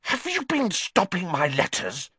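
A man's voice: high-pitched, huge pitch swings, a little slow, bright, forceful tone, breathy edge, no echo, pitched above the performer's own voice, heavily acted. A man voicing an earnest young boy, urgent and a little desperate.

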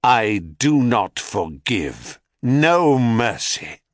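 A man's voice: mid-range voice, very animated, very slow, dry recording, clean studio sound. A man voicing a haughty, wrathful queen goddess who speaks with icy command. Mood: icy imperious. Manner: icy, imperious.

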